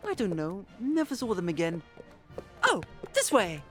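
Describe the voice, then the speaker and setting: A woman's voice: medium pitch, wildly swooping pitch, measured pace, a bit bright, soft background music. A woman playing a young, sheltered, chatty prince in an audio drama, with a breezy, naive manner.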